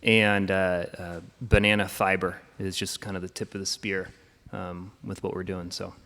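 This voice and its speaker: A man speaking as a panelist, explaining his work in a relaxed way with many "uh"s. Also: deep voice, moderate pace. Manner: relaxed explaining, filler-heavy. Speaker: panelist, company founder. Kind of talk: panel talk. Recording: long hall reverb.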